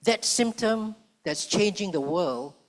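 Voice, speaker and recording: A man with a slightly higher voice speaks on a conference panel. He is measured and rhetorical, drawing out his key phrases and leaning into the contrasts he builds. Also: expressive. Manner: measured, rhetorical, slows for emphasis. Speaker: panellist, public policy professor. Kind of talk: panel talk. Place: conference hall.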